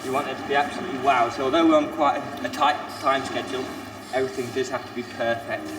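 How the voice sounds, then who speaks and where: A young man talks cheerfully and confidently, restarting his sentences as he works. His voice sits mid-range. The sound carries a roomy echo, with steady background noise around him. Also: mid-range voice, fast. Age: 20s. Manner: chatty, upbeat, self-assured, restarts sentences. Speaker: trainee restaurant supervisor. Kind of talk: documentary interview and on-the-job talk.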